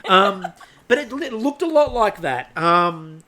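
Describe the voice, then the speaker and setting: Bright-voiced jokey male podcast host. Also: high voice, very animated. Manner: brisk, jokey, steering the episode along. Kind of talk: casual chat recapping a TV baking show.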